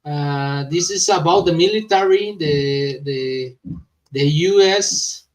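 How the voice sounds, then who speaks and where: Slow, halting Spanish-accented man, distant mic. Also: on the high side. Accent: Spanish-speaking. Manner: halting, stumbling non-native English. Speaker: host of a crypto news vlog. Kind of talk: reading news with informal commentary.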